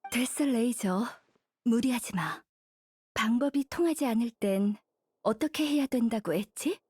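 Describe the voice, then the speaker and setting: A woman's voice: fairly high pitch, a little slow, a little mellow, husky, no room sound, clean studio sound. An actress playing a kindly, patient young woman mentor in an anime game, teacherly and encouraging. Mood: gently encouraging. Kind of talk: Korean anime game voice acting.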